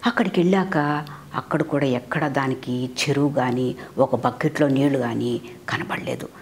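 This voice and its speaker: A woman with an Indian accent tells a story to children like a teacher, asking them questions, repeating phrases and dropping in English words. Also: deep voice. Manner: mixes in English words and glosses.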